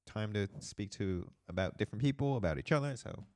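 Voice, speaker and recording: A young man, co-hosting a casual podcast chat, playful and quick to laugh. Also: fairly low pitch, expressive, darkish tone, dry recording. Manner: laughs often, playful and upbeat. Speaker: podcast co-host.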